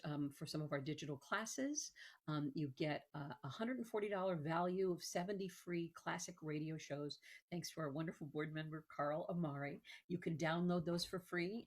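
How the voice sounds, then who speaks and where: A woman hosting a program in an informal promotional style, conversational and halting, with emphatic stresses. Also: fairly low pitch, a little mellow. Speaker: host, museum executive director. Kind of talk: informal promotional talk to viewers.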